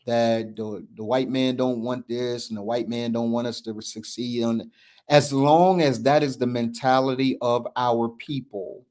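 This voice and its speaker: A man's voice: medium pitch, a little mellow. A man in his fifties speaking earnestly in casual conversation, repeating phrases for emphasis with a lilt. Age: 50s. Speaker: podcast co-host.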